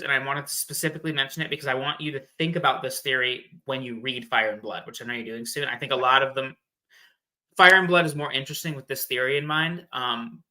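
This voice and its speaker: A man's voice: medium pitch, bright, forceful tone. A man in a casual discussion, explaining fan theories in a conversational, loosely phrased way.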